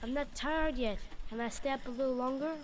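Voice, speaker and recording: A young boy, acted for a video game, sad and sleepy, with a high voice. He talks in a subdued, pleading way, and there is faint noise behind him. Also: brisk, lightly acted. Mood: reluctant.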